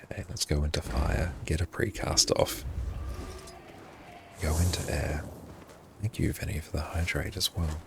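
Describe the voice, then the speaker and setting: A man livestreaming his gameplay in a hushed, half-whispered voice, often thanking his viewers. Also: extremely low pitch, steady tone, measured pace, creaky, pauses often, noisy background.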